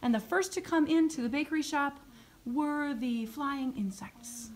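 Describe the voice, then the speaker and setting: A woman music teacher narrating a puppet show for young children in an expressive storytelling manner, recorded on a phone in a classroom. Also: sits high, a little slow. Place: medium-sized school music classroom. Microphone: phone camera a few metres away. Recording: noisy classroom phone video.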